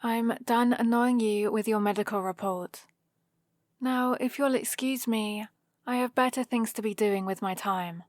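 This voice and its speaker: A woman's voice: a little high, a little slow, no echo, lightly acted. A woman with a British accent playing a sardonic supervillain who grudgingly cares for an injured rival, in a dry, teasing ASMR roleplay. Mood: curt. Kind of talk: ASMR roleplay, close and unhurried.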